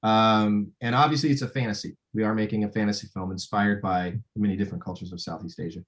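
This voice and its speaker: Low-voiced man, halting interviewee. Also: fairly even intonation. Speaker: film director being interviewed. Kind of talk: casual promotional interview.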